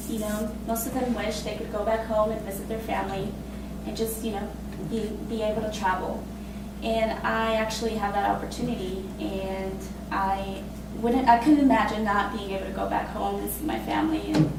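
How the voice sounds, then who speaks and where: A woman in her twenties from Costa Rica, telling her own story in a casual, halting way with many fillers and laughs. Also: medium pitch, very echoey, recorded from a distance, noisy background. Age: 20s. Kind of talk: personal spoken testimony.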